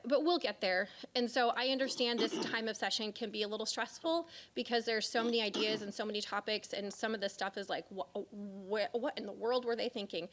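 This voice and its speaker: A woman's voice: on the high side, fairly bright tone. Chatty woman legislator. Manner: chatty, self-deprecating, many fillers and restarts. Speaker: state representative. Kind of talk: public forum remarks.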